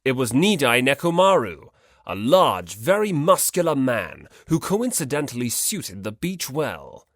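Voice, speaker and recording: An audiobook narrator reading fiction from a script in an expressive storytelling style. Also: mid-range voice, measured pace, no room sound, clean studio sound.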